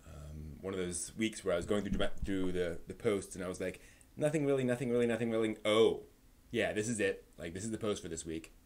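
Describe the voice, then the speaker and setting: A man's voice: sits low, fairly quick, a little mellow. A man hosting a live stream in a conversational way, moving between news rundown and casual asides to his viewers.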